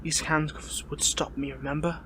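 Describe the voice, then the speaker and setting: A man playing a wounded young captive hero in an audio roleplay, wry and defiant, speaking haltingly with a pained, weary strain. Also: fairly high pitch, little pitch movement, background noise, natural voice. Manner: halting, sardonic, stammering. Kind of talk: dramatic audio roleplay, one-sided dialogue.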